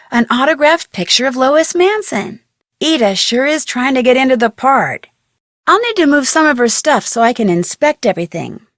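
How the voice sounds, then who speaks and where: A curious young amateur detective for an adventure game. Her high voice swings in pitch as she muses aloud to herself, recorded close and dry. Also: no room sound, exaggerated voice. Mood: surprised. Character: curious young woman sleuth working as production assistant. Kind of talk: scripted adventure game monologue.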